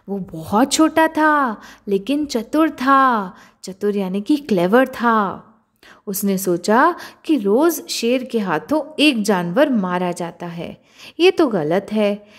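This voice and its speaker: A woman narrating a scripted children's story in an expressive storyteller's style. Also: a little high.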